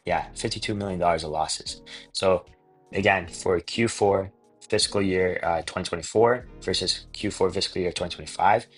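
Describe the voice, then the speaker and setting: A man's voice: sits low, a bit bright, slightly rough. A man giving casual financial commentary as a channel host, reading out figures and hedging in a rambling, repetitive way. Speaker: host of a stock investing channel.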